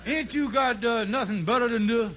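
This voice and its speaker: An actor playing a blustering, scheming husband who stammers in folksy, ungrammatical speech in a 1950s radio comedy. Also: male voice, very high voice, normal speed, fairly bright tone. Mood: hesitant. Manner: stammering, exaggerated folksy nonstandard grammar. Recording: muffled, hissy old radio broadcast.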